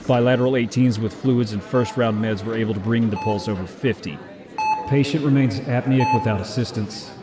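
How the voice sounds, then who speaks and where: A man's voice: medium pitch, brisk, loud music behind the voice, lightly acted. An actor playing a male paramedic giving a clinical, matter-of-fact patient handoff in a horror audio drama.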